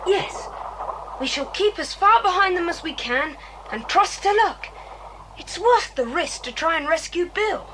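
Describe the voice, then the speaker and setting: A woman playing a bold, decisive boy who leads other children in an audiobook drama. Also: animated. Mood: resolute. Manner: brisk, commanding, earnest. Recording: hissy, rumbling 1980s cassette transfer.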